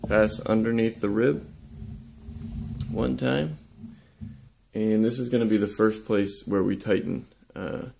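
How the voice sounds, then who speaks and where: A young man casually demonstrating how to lash boat joints, talking in a relaxed, halting way with many ums and uhs. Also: on the low side, vocal fry, a little hiss or hum. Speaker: woodworking instructor. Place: indoor workshop.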